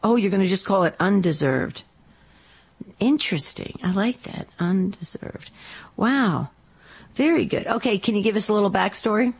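A woman's voice: medium pitch, expressive, moderate pace, gravelly, husky. A woman with a slightly scratchy voice hosting a radio call-in show in a chatty, casual, effusive way.

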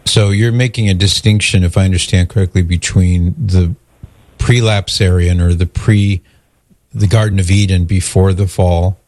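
A man hosting a religious call-in radio show, explaining things in a measured, careful, conversational way. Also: low voice, steady tone, a little mellow, no echo.

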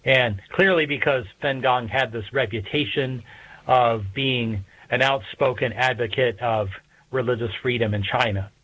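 Measured male academic guest, dull sound. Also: mid-range voice. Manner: hesitant, measured, pausing between phrases. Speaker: guest expert, political science professor. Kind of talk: interview answers, explanatory. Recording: dull lo-fi remote radio connection.

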